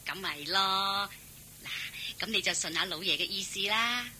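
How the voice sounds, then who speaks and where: A woman's voice: mid-range voice, normal speed, bright, forceful tone, slight background noise. An actress playing a shrewd, chatty household servant woman in a Cantonese radio drama, coaxing and practical. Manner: coaxing, colloquial, exclaiming.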